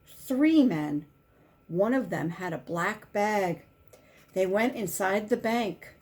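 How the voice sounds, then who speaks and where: A woman reading a children's picture book aloud as a volunteer, in simple, deliberate storytelling with emphasized key words. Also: medium pitch, animated, darkish tone.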